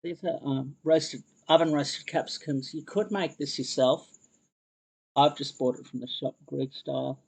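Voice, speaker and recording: A man's voice: mid-range voice, fairly even intonation, measured pace, creaky, darkish tone, slightly rough, poor audio. A man chatting casually to his viewers while he cooks on a live stream. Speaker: cooking livestreamer. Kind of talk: casual live cooking chat.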